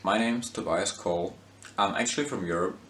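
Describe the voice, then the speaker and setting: A man from Germany, a gymnast speaking in a steady, motivational way. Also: on the low side, fairly quick, echoey room, a little hiss or hum.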